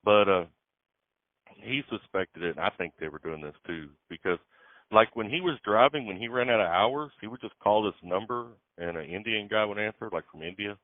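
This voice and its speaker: A man's voice: lowish voice, moderate pace, dry recording. A man, a truck driver, talking casually on a phone call in a laid-back, plain-spoken way. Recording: tinny phone line.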